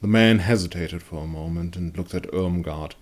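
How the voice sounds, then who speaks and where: A man narrating a dark fantasy story as a dramatic audiobook reading. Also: low-pitched, moderate pace.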